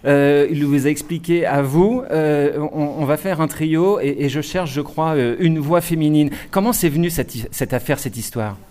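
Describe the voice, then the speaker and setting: A man's voice: on the high side, normal speed, few pauses, a little mellow. A man interviewing a musician guest, chatty and full of stammered repeats and fillers. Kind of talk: interview questions.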